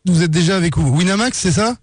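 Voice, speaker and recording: A man's voice: high-pitched, brisk, slightly rough, no echo, clean studio sound. A man playing a polite, insistent marketing assistant for a betting company on a prank phone call, coaxing with hesitant sales talk. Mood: negotiating, coaxing. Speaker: prank caller.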